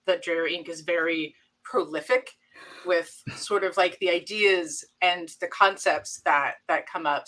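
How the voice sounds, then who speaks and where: A woman's voice: fairly low pitch, sharp tone. A woman hosting a casual interview, asking friendly questions with fillers like "like" and "um". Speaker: interview host.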